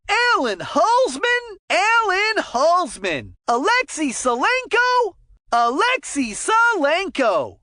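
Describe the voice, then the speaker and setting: An excitable little boy calling a cartoon hockey game. The voice is extremely high and bright, and very slow, stretching out each exclaimed call like a proud young announcer. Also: dry recording, clean studio sound, full character voice. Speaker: sports game commentator. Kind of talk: video game announcer calling out player names.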